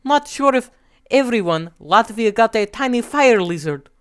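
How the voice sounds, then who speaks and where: Sweet childlike Russian character, high-pitched, Russian accent, faintly menacing, comic story reading. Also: male voice, lively intonation, moderate pace, a bit bright, clear, resonant tone. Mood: sweetly cheerful. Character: cheerful childlike menacing Russian man, personified country.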